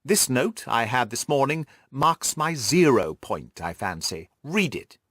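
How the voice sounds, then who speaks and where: A man's voice: mid-range voice, very animated, measured pace, a bit bright, husky, no echo, studio quality, full character voice. A man voicing a haughty, disputatious detective who lectures his friend pompously in an audiobook. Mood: disdainful, weary. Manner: pompous, didactic. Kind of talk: audiobook character dialogue.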